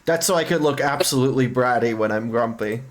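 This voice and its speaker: A woman's voice: rumbling low, fairly quick. A woman streamer chatting casually on a livestream.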